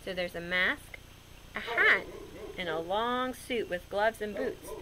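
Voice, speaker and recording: A woman's voice: mid-range voice, slow, very noisy. A woman reading a picture book aloud to children, expressively, with simple explanatory asides. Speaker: read-aloud storyteller for children.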